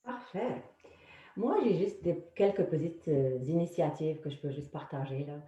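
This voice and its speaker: A woman's voice: sits low, expressive, normal speed, warm tone. A woman from Morocco, an HR officer, speaking hesitantly on a video call panel. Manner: hesitant, many fillers and restarts. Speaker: human resources officer. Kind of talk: panel testimony. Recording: roomy, dull video call audio.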